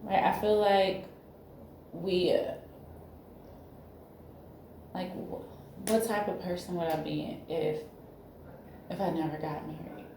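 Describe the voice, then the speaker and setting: A woman in her thirties speaks haltingly and anxiously, as if opening up to a counselor. Her voice is mellow and dark. The recording is very echoey, with faint background noise. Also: on the low side. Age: 30s. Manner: halting, anxious, searching for words. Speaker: counseling client in a role-play. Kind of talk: emotional personal disclosure.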